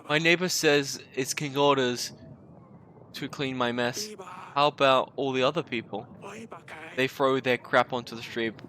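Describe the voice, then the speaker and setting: A man's voice: on the low side, bright, forceful tone, a little hiss or hum. A man voicing a grumbling male peasant who complains in an aggrieved tone.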